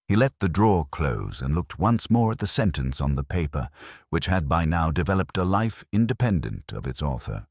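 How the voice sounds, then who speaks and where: A man with a very deep voice narrates a cosy mystery story. His delivery is measured and wryly understated, yet the intonation rises and falls with plenty of movement. The sound is dry and free of room echo. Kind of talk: scripted literary audiobook narration of a cosy mystery.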